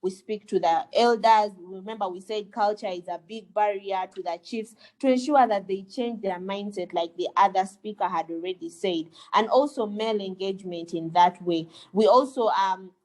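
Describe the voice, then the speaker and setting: A woman's voice: mid-range voice, normal speed, hardly any pauses, a little mellow, rough recording. Earnest Ugandan woman on a webinar. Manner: earnest, with frequent fillers and restarts. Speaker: lawyer and webinar speaker. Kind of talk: webinar panel talk. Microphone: video call.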